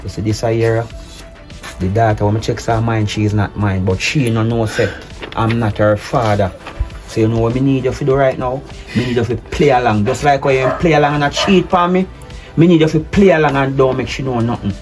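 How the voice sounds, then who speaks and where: A man playing a hurt, betrayed husband, speaking low and measured, then forcefully. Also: steady tone, over music, lightly acted. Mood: stern. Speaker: husband in a domestic drama skit. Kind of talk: improvised-sounding skit acting.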